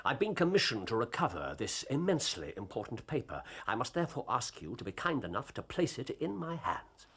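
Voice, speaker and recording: Incisive period detective: authoritative, slightly husky, highly varied pitch. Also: male voice, sing-song, brisk, a bit bright, exaggerated voice. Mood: stern, insistent. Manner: clipped, authoritative. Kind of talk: period detective drama acting.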